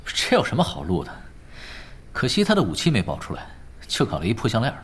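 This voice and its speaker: A man's voice: fairly low pitch, huge pitch swings, quick pace, slightly rough, full character voice. A man voice actor playing a cheeky, nonchalant, scheming master gamer in a Mainland Mandarin audio drama. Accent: Mainland Chinese Mandarin. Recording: long reverb, music behind.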